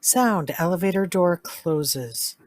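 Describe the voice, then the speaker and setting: A woman reading sound-effect cues aloud in a flat, unhurried way for a live radio drama. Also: on the low side, no echo. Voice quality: flat, slow announcing of cues. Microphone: video call.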